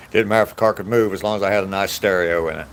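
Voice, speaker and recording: A man in his sixties from the United States, chatting casually with live viewers while out on a walk, reminiscing in an easygoing way and chuckling often. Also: low voice. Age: 60s. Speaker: vlogger answering live chat. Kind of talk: casual rambling chat. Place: outdoors on a walk.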